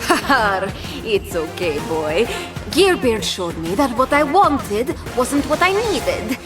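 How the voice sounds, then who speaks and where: A bold, feisty swashbuckling pirate woman in an audio drama. Her high voice swings widely as she talks in a jaunty, commanding way, with music behind her. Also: very animated, slow pace. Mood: jovial. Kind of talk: scripted audio drama acting.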